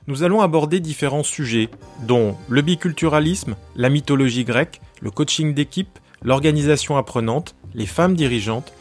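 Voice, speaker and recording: A man hosting a coaching podcast, reading a scripted intro, then putting questions to his guest. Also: a little high. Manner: clear, polished presenter delivery.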